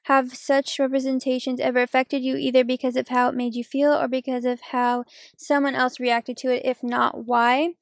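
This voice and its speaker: Woman, twenties, high voice, monotone, measured reading. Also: vocal fry, dry recording, studio quality. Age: 20s. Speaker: podcast guest. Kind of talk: reading a question and answering.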